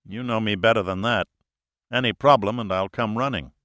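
A man reading the part of a casual, capable American scientist and husband, deadpan, in an audiobook. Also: on the low side, normal speed, dry recording, close to an everyday voice. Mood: casual, confident.

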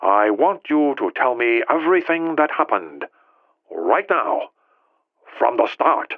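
A man's voice: medium pitch, big pitch swings, no room sound, pitched above the performer's own voice. Man acting stern leader on a phone line. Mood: cold, stern. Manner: measured, formal, coldly authoritative. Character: head of state on the phone, quiet, cold, stern. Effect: telephone filter. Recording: tinny band-limited phone-line effect.

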